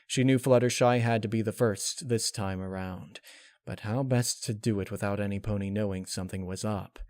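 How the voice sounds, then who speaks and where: A man's voice: fairly low pitch, fairly even intonation, normal speed, a little mellow, no room sound. Man narrating a story, very dry sound. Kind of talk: scripted narration of fan fiction.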